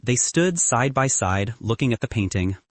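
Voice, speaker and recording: A man reads a romance story as scripted narration. His voice is husky and medium in pitch, with lively movement up and down. The sound is close and dry. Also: normal speed, dry recording. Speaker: audiobook narrator.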